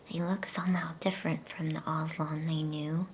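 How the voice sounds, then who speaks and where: A woman softly whispering an ASMR bedtime story as storyteller, hushed, soothing and unhurried. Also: sits low, level tone, background noise.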